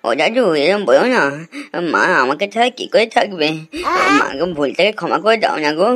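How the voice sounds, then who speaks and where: A woman voicing a bold, whiny little boy with a loud, emphatic delivery in a comedy cartoon. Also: fairly low pitch, sing-song, a little fast, heavy background noise. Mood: pleading. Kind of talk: adult comedy cartoon dialogue.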